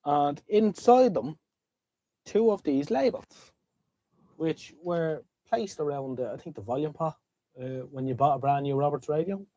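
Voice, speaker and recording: A man's voice: on the high side, a little slow, mellow, poor audio. An Irish man with a slight head cold, sniffling as he chats casually and rambles to viewers while hosting a live stream. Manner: chatty, rambling, talks to viewers. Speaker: livestream host. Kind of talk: casual livestream chat. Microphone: desk microphone.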